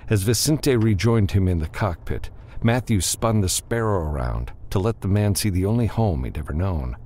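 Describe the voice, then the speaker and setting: A man narrating a science fiction audiobook in a measured, expressive storytelling style. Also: low voice, a little hiss or hum.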